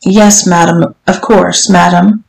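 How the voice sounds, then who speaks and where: A woman's voice: lowish voice, fairly even intonation, slow, gravelly. A woman voicing a meek, submissive man in an audiobook, speaking humbly, hesitantly and pleadingly. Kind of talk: audiobook character voice. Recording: roomy echo.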